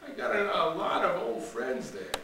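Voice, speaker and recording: A man doing a brassy Brooklyn gal, raised high. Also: sing-song, moderate pace, vocal fry, pushed and bright, slight background noise, heavily acted. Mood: wistful. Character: brassy young woman from Brooklyn. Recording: roomy room, distant mic, faint ambience.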